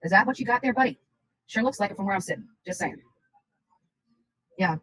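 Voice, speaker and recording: A woman's voice: medium pitch, a little fast, fairly bright tone, husky, dry recording, poor audio. A woman chatting casually on a livestream in a blunt, rambling, insistent way.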